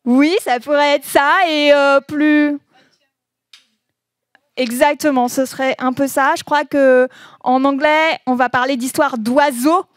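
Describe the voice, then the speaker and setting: High-pitched woman walking through proverbs slowly, laughs a lot, dry close sound. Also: steady tone, dry recording. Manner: slow, laughing often, says proverbs then explains them. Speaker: presenter of Breton proverbs. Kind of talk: informal presentation with translations.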